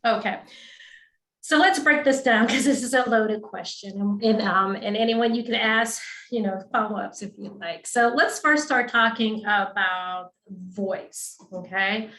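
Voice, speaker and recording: A woman's voice: medium pitch, unhurried, bright, forceful tone, reverberant, low-quality recording. A woman speech-language pathologist explaining clinical topics in a patient, teacherly way, often checking in with the listener. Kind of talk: explanatory webinar talk.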